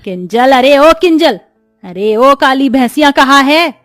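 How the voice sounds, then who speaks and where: A woman's voice: high voice, lively intonation, unhurried, a bit bright, no echo, soft background music. A woman voicing a cruel, hot-tempered middle-aged stepmother in a melodramatic storybook tale, harshly scolding. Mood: furious, scolding.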